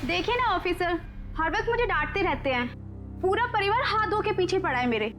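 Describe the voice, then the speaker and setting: A whining, complaining relative in a TV family crime drama. Her voice is extremely high and swings about in pitch. She sounds wronged, with music clearly behind her. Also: lively intonation, brisk. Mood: aggrieved. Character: aggrieved sister-in-law, whining and complaining.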